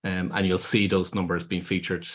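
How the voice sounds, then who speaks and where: A man's voice: deep voice, little pitch movement, normal speed, fairly bright tone. A middle-aged Irish man, a guest in an interview, talking in a thoughtful way with many fillers. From Ireland. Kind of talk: casual interview talk.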